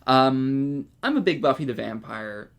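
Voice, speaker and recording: A Canadian man with a gravelly, fry-edged voice shares horror news on a podcast. His talk is loose and conversational, with plenty of filler words as he thinks aloud. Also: mid-range voice, measured pace. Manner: relaxed, chatty, heavy on "like" and "um". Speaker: podcast co-host. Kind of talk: casual horror-news chat.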